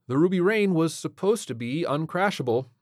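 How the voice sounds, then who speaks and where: A weary female spaceship officer, read by a man, lifted slightly high. She is sardonic, firm and deadpan, a curt storybook heroine recorded dry and close. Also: moderate pace, no echo. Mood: resigned.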